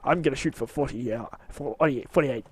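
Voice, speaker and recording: A man's voice: medium pitch, fairly quick, breathy edge, no echo. A man giving a casual, rambling walkthrough of his game build, tired and with his voice wearing out, hesitant and mocking himself.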